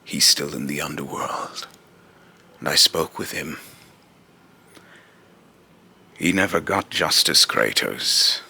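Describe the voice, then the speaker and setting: A man acts out a menacing god of fear from a video game, in an extremely low male voice. He speaks slowly, with a gravelly creak and a pompous, scornful, taunting air. The delivery is theatrical and grandiose. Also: animated, bright, forceful tone, exaggerated voice. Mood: accusing. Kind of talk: rehearsed video game villain lines.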